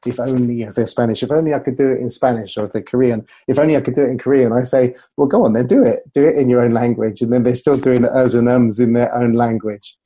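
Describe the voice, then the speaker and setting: Man, communication coach, interview guest, fairly flat intonation, very dry close sound. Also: mid-range voice, fast, few pauses, no echo. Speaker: communication coach and former broadcaster. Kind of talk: interview conversation, explaining with anecdotes.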